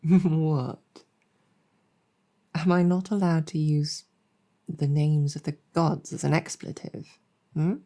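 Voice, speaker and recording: Woman acting a low, mellow, weary demon general. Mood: amused. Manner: hesitant, reflective, frequent pauses and sighs. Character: weary, earnest demon general woman, once tricked hero. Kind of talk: improvised audio roleplay, intimate storytelling.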